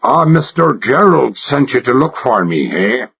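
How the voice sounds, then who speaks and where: A man doing a gruff Irish foreman. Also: very animated, unhurried, a bit bright, full character voice. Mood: suspicious. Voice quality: deep, rough, no-nonsense. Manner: blunt, suspicious questioning. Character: stocky middle-aged male Irish foreman, gruff. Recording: dull lo-fi audiobook recording.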